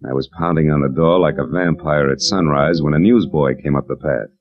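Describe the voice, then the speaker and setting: A man's voice: rumbling low, brisk. An American man acting a world-weary, wisecracking private detective in a 1940s radio noir drama, dry and terse as he tells his case. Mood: brooding, wry. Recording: dull vintage 1940s radio recording with music.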